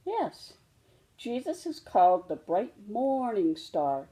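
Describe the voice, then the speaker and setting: Storybook role: tender mama bear played by a woman, mellow and unhurried, patiently reassuring her young cub. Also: mid-range voice, very animated. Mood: tender, explaining. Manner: gentle, reassuring explaining. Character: patient, loving mother polar bear teaching her cub.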